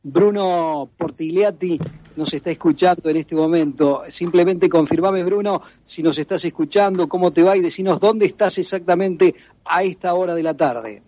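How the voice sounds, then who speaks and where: A man's voice: sits high, moderate pace, warm tone, dull audio. A man with an Argentine accent, hosting a radio interview and asking questions with frequent hesitations. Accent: Argentine Spanish. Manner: hesitant, with many filler sounds. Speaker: radio host.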